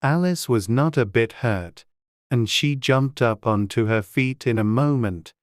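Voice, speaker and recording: An audiobook narrator reading a children's story in a measured, deliberate storytelling way. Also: mid-range voice, no room sound, clean studio sound.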